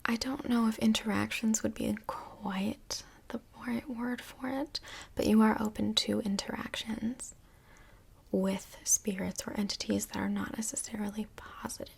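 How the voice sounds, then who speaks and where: Slow, whispering female counsellor, acted by a woman. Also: medium pitch, steady tone, full character voice. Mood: patient, reassuring. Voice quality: breathy, mostly whispered. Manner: gentle, measured, reassuring, professional. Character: calm, reassuring female couples' counsellor in Hell. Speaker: ASMR role-play performer. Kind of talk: soft-spoken ASMR role play.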